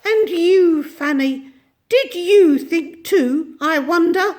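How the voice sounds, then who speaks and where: A woman voicing a tiny, ancient, bossy old shopkeeper with a shrill, squeaky voice that swings between sweetness and soft menace. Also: slow pace. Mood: menacing.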